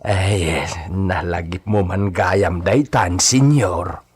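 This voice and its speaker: A man's voice: deep voice, slow, breathy edge, full character voice. A man playing a humble, eager hacienda servant in a radio drama, speaking deferentially and hesitantly to his master. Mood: curious, respectful.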